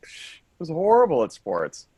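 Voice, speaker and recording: A man's voice: fairly high pitch, wildly swooping pitch, moderate pace, mellow, husky, dry recording, heavily acted. A man playing an earnest, rambling office worker, tipsy and brooding, in a staged play reading. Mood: wistful, tipsy.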